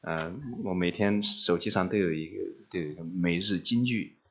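A man interpreting a sermon live, relaying each phrase quickly and steadily. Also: low-pitched, fairly bright tone, muffled recording.

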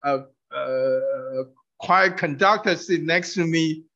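Slow, halting male preacher. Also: on the high side, pushed and bright. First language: Mandarin. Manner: halting, with frequent fillers and restarts. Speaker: preacher sharing testimony. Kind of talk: testimony sermon through an interpreter. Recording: dull muffled recording.

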